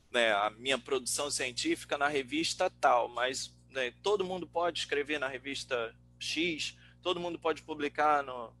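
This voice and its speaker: A Brazilian man, a researcher and teacher, talking informally and reflectively in a webinar, halting as he thinks aloud. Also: a little high, vocal fry, bright, forceful tone. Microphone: online webinar call. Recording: noisy webinar audio with hum.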